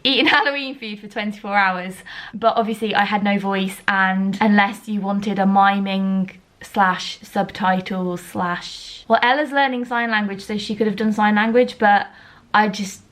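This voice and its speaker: Slightly croaky young woman vlogging. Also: medium pitch, fairly even intonation, moderate pace, a bit bright. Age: around 20. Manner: chatty, rambling, self-deprecating. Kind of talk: casual chat to camera. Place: at home.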